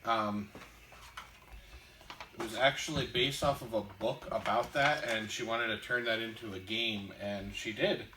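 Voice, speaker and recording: A man co-hosting a casual podcast, explaining board game rules in a halting way with lots of ums and restarts. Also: lowish voice, steady tone, bright, forceful tone, a little hiss or hum. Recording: echoey room, distant mic.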